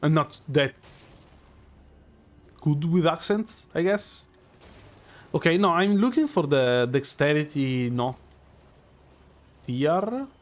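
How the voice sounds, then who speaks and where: A man with a strong Italian accent, a streamer musing out loud over live gameplay with many fillers and restarts. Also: a little high. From Italy.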